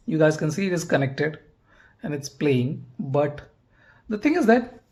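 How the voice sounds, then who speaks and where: A man giving an unscripted product review as an audio gear reviewer, halting and often repeating his words and phrases. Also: fairly high pitch, darkish tone.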